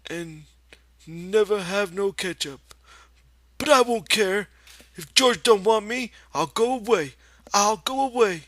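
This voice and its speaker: A man's voice: sits high, takes it slowly, fairly bright tone, dry recording, voice pushed up. A man voicing a simple-minded, anxious big man with plaintive, folksy rural speech in an audiobook. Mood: sad.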